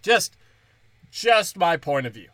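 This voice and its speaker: A young man, a stand-up comedian, rambling crudely and mockingly through a solo podcast, breaking into high mimicking voices. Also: big pitch swings, takes it slowly, pushed and bright, no echo.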